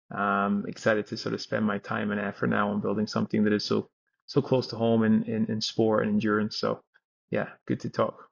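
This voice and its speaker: A man's voice: fairly low pitch, creaky, dull audio. A Scottish man in his thirties, a company founder telling his story in a podcast interview with frequent restarts. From Scotland. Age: 30s.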